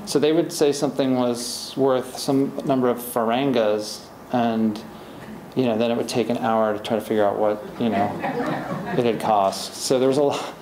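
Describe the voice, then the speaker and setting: A man's voice: mid-range voice, moderate pace, a little mellow. Middle-aged man answering questions, roomy hall. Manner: rambling, many restarts and fillers. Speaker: author at a library talk. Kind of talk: audience Q&A, conversational. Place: library event room, indoors. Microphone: podium microphone, far camera. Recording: roomy hall echo, faint hiss.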